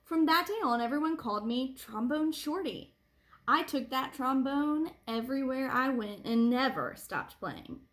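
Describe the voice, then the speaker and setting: A woman reading a picture book aloud to children like a teacher, with animated phrasing and deliberate emphasis. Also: sits high. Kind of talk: expressive read-aloud storytelling for children.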